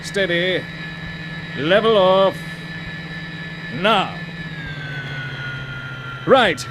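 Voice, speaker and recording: Male spaceship captain, vintage radio serial. Higher voice, calm authority, clipped orders, noisy old recording. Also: extremely slow, heavily acted. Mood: urgent, commanding. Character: calm, decisive male spaceship commander, informal with his crew. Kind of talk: 1950s radio science fiction acting.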